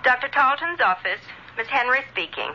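A woman's voice: high voice, expressive, fairly quick. A woman playing a formal, businesslike doctor's receptionist in a 1940s radio drama, heard through a telephone effect. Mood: formal, grave. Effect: telephone filter. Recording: dull, noisy old radio recording, telephone effect.

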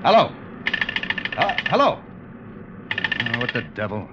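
An actor playing a grave, weary ship's officer in a vintage radio adventure drama, with dramatic, deliberate delivery. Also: male voice, mid-range voice, very animated, heavy background noise. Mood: urgent command. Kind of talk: 1940s-50s radio adventure drama acting. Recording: dull vintage radio recording, music behind.

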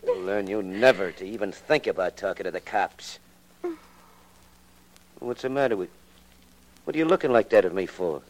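An actor playing a rough, domineering thug with slangy, bullying talk in a 1940s radio crime drama. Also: male voice, fairly low pitch, lively intonation, moderate pace, long pauses, close to an everyday voice, low-quality recording. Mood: menacing. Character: menacing male thug, bullying his girlfriend.

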